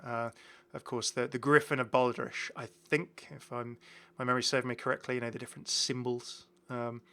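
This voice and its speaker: A man's voice: mid-range voice, level tone, a little fast, sharp tone, clear, resonant tone. A British man giving casual, enthusiastic game commentary, rambling and often correcting himself as he goes. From United Kingdom. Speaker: gaming video host.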